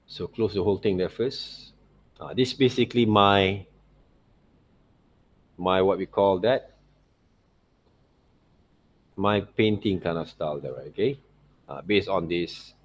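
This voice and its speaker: Low-voiced man teaching. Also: slow, pauses often. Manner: checks in often with tag questions like right and okay. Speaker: art teacher. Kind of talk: informal screen-share tutorial commentary.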